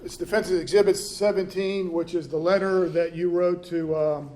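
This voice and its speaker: Male prosecutor, native Spanish speaker, slightly high voice, rambling friendly questions, echoey courtroom. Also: steady tone, moderate pace, dark tone. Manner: casual, rambling, self-deprecating questioning. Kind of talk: courtroom redirect examination. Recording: echoey courtroom, faint hiss.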